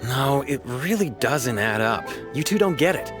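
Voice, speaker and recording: A man's voice: mid-range voice, sing-song, measured pace. A man playing a loyal, informal police officer in a video game, earnest in manner, over background music. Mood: earnest, baffled. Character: loyal, chummy male police officer colleague. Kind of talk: scripted video game dialogue. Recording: music behind the voice.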